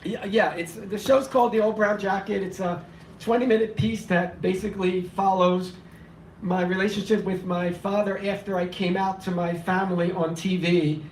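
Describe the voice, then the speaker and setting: A man reading his spoken word poetry at a cafe open mic, impassioned and declamatory, his voice slightly hoarse and weak. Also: high-pitched, fairly even intonation, normal speed, slight background noise. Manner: impassioned, declamatory, rhythmic repetition. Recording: echoey room, voice from far off.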